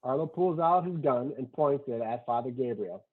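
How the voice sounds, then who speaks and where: A man's voice: medium pitch, normal speed. A man reading screenplay stage directions aloud at a table read, matter-of-fact, in short clipped sentences. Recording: thin, phone-like online call audio.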